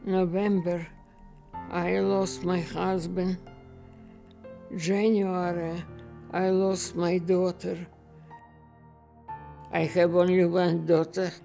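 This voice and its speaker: A woman's voice: lowish voice, flat delivery, takes it slowly, vocal fry, long pauses. A woman, speaking in simple broken English in a heartfelt personal interview. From former Soviet Union. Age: 80s. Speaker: elderly client of a family service. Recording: soft music underneath.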